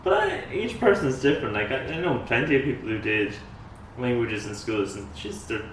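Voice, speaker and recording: A young man from Ireland with an Irish accent, co-hosting a casual podcast and talking in a relaxed, rambling way with many fillers. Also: medium pitch, normal speed. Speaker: podcast co-host. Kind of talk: casual chat. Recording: echoey room, mic at a distance, faint noise.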